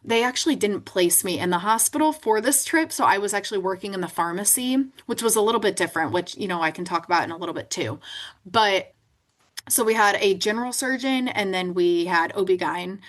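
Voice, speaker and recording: A woman's voice: medium pitch, animated, brisk. Guest, female, 20s, chatty and talkative, often saying "like". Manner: chatty, frequent "right?" and "like". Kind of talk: interview conversation.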